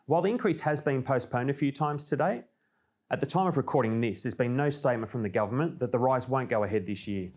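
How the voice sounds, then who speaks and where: A man with an Australian accent presenting a scripted informational update in a clear, orderly, explanatory way. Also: mid-range voice, fast talker, a little mellow. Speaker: presenter of a company newsreel.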